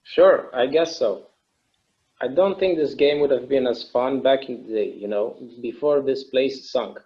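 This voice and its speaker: A man's voice: medium pitch, a little slow, warm tone, natural voice. A man acts out an easygoing, inventive husband in a stage play read. His mid-range voice is conversational and musing. The recording is a little dull and lo-fi. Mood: amused, agreeable. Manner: casual, musing, agreeable. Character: easygoing, imaginative husband who enjoys inventing games.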